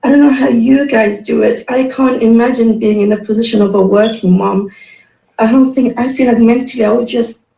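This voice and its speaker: A woman's voice: fairly high pitch, steady tone, moderate pace, creaky, dark tone. Earnest woman venting in echoey room. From Afghanistan. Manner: earnest, venting, lists chores one by one. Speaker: mother. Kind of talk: personal monologue to other mothers.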